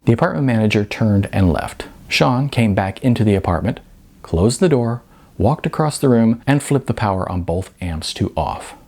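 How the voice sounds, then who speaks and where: A man reads a story aloud as its author, in an animated voice that rises and falls freely. His delivery is expressive, with dramatic emphasis, and his tone has some roughness to it. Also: on the low side, moderate pace. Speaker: author reading own novel. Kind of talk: animated audiobook-style narration.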